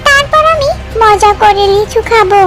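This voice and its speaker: A woman's voice: extremely high pitch, moderate pace, fairly bright tone, faint music behind. A woman voicing an eager little fox girl in a children's cartoon, childlike and sweetly coaxing. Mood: pleading, eager.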